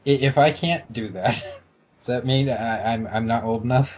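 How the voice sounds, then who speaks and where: A man's voice: mid-range voice, normal speed, husky, muffled recording. A man in casual group banter on a voice call, often stammering and repeating words.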